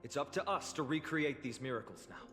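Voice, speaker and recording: An actor voicing a calm, serious young hero in a video game, measured and earnest. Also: male voice, medium pitch, natural voice. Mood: resolute. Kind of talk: scripted video game acting. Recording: reverb, game music behind.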